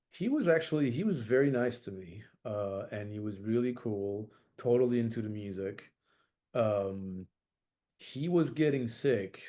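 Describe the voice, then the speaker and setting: A man's voice: on the low side, mellow. A middle-aged man from France, a jazz saxophonist as interview guest, talking thoughtfully with many fillers and restarts. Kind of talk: reflective interview talk.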